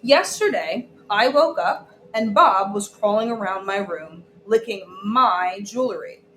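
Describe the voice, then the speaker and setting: A woman teacher reading a children's story aloud, deliberately, pausing to put questions to her listeners. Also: mid-range voice, expressive, fairly bright tone. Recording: echoey room with background noise.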